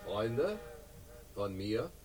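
An actor playing a suave, slippery crime boss in a radio drama, urbane and ironic, with smoothly evasive talk in a husky voice. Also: male voice, lowish voice, very animated, drawn-out words, a little mellow, distant mic, exaggerated voice. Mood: feigned innocence. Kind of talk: 1960s German radio crime drama acting.

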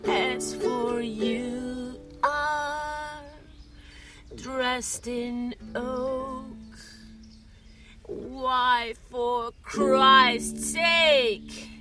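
A woman's voice: sits high, steady tone, extremely slow. A woman playing a stoned young mourner in a radio play, singing a comic funeral lament to guitar. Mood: mournful. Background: loud acoustic guitar.

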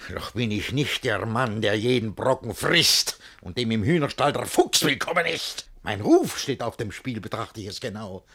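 An actor playing a jealous, pompous, panicky middle-aged master in a theatrical verse comedy for radio, raspy and breathless, swinging between muttering and bellowing. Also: male voice, lowish voice, lively intonation, moderate pace, hardly any pauses, a bit bright, full character voice. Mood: anguished, brooding.

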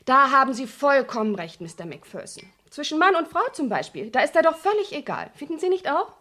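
Chatty girlish teenage apprentice, high voice. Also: very animated, fairly quick, sharp tone, full character voice. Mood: cheeky, confident. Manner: girlish, forthright, talkative. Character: chatty, naive, cheeky teenage shop apprentice girl. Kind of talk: 1970s German radio crime drama acting.

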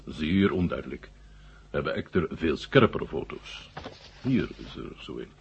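A male actor playing a genial, sly Soviet marshal in a Dutch radio drama, with a husky voice and deliberate, halting phrasing. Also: rumbling low, very animated, slight background noise, heavily acted. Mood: proud, revealing. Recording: muffled old radio recording.

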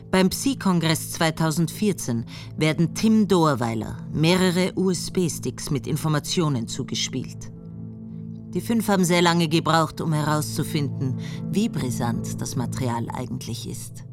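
A woman narrating a radio drama in a calm, matter-of-fact tone. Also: low voice. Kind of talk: scripted narration.

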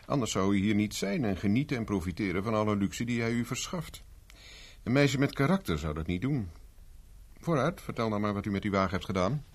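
An actor playing a persistent private investigator in a 1970s radio drama, speaking dry and insistent. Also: male voice, fairly low pitch, animated, a little fast. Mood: pressing. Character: dogged male private investigator, cool and persistent. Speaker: private detective Carver.

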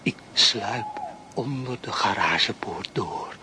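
A man's voice: fairly low pitch, takes it slowly, background noise. An actor playing a proud, self-pitying male street dog who calls himself a detective, in a children's radio play. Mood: sneaking. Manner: theatrical, self-pitying and boastful.

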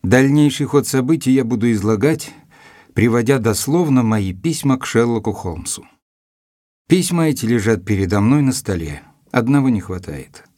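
An actor playing an earnest, educated gentleman who narrates his letters in a measured, expressive way for an audio drama. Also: medium pitch, dry recording, clean studio sound, close to an everyday voice. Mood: measured, reflective. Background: music.

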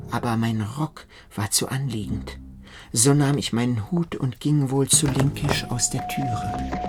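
A man narrates a literary radio play in the first person. His voice sits at a middle pitch, and music plays clearly behind it throughout.

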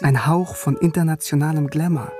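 Man played by an actor, higher voice, measured reflective radio drama narration, guitar music behind. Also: steady tone, natural voice. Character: man named René recalling his youth as a schoolboy.

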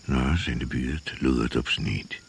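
An actor narrating a suspense radio play in terse, measured present-tense phrases. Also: male voice, a bass voice, creaky, noisy background, full character voice. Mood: hushed suspense. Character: brooding male narrator of a jungle thriller.

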